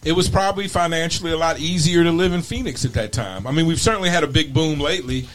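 A man hosting a relaxed conversation, chatting easily and looking back on old times. His voice is a little higher than most men's. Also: fast talker, low-quality recording. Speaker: podcast host. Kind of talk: casual interview chat.

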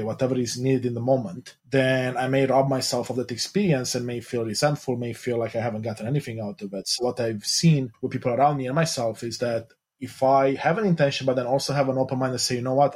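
A man's voice: mid-range voice, a little mellow, breathy edge. A man from Romania hosting a podcast interview, reflective and thinking aloud in long searching sentences. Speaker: podcast host. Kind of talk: casual interview chat.

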